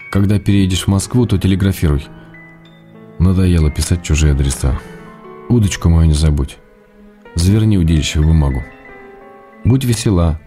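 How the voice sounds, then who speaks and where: An actor playing a weary, exasperated but loving husband, reading his letter with measured, affectionate reproach in a radio play. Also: deep voice, mellow, natural voice. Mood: matter-of-fact, then tender pleading.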